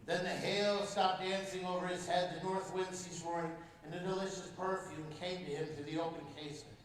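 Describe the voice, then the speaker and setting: A male guest speaker reads a storybook aloud to a congregation, expressive and unhurried. His voice is on the high side. It is heard from a distance across a large, echoing church. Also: a little hiss or hum. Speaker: guest speaker at a parish mission. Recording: echoey church heard from far off.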